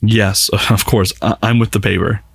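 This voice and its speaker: A man playing an awkward, eager young nobleman suitor in an ASMR roleplay, halting, flustered and apologetic. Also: lowish voice, steady tone, gravelly, fairly bright tone, breathy edge, no room sound, full character voice. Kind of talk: scripted ASMR roleplay acting.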